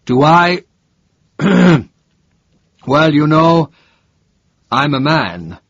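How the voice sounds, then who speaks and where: Guy doing a middle-aged driver, grumbly and easily flattered, husky voice, lo-fi dry audio. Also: fairly high pitch, very slow, no echo, voice pushed up, full character voice. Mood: eager. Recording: dull lo-fi, dry.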